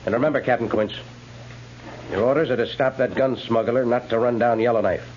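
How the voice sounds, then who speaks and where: Man acting a rapid, stern commanding officer. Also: mid-range voice, steady tone, a little mellow, a little hiss or hum, lightly acted. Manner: formal, authoritative orders. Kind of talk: 1950s radio western acting. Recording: muffled 1950s radio recording.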